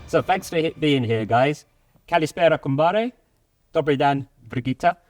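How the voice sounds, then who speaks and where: A man's voice: on the high side, lively intonation, moderate pace, over loud music. A man hosting a casual workplace talk show, asking loose questions with restarts and fillers. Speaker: host. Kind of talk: casual interview.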